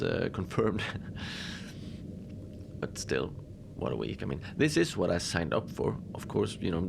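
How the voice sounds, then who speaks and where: A man playing a chatty, wry manager of an Antarctic research facility in an audio drama, talking in a rambling, deadpan way with hesitant asides. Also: sits low, gravelly. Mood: wry, weary. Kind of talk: conversational monologue, log-style audio drama. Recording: noisy background ambience.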